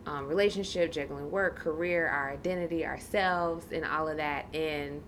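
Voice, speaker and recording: A woman's voice: low-pitched, steady tone, moderate pace, a bit bright, a little hiss or hum. A woman, hosting a casual conversational podcast interview. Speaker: podcast host, licensed counselor.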